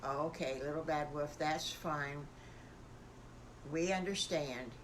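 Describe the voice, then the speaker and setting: A kindly storybook mother pig, voiced by a woman. She is reassuring and polite, with a slow, soothing delivery. Faint noise sits behind her. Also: low-pitched, voice pushed down. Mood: gentle.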